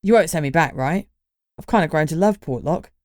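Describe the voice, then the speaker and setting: A woman voicing a cheeky, easygoing young man with a Cockney accent, talking low and quick. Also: expressive, dry recording, clean studio sound, close to an everyday voice. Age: young adult. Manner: cheeky, laid-back, quick talk.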